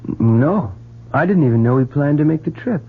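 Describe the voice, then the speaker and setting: An actor playing an evasive young student son in an old-time radio drama, casual and slangy in manner. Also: male voice, a little high, expressive, fairly quick, husky, phone-quality sound. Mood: evasive, troubled. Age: young adult. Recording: thin, band-limited old radio recording.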